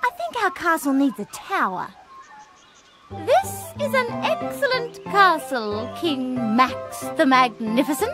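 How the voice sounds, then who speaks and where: A woman's voice: high voice, big pitch swings, slow pace, full character voice. A woman voicing Millie, a sweet young farm girl puppet in a children's show. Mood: cheerful. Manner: sing-song, warm and gently enthusiastic. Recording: studio voice over music.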